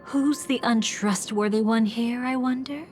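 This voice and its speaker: A woman's voice: on the high side, steady tone, darkish tone, soft background music, lightly acted. A woman playing a sly, teasing memory manipulator in a video game, with languid, mocking delivery. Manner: languid, mocking, drawn-out taunts.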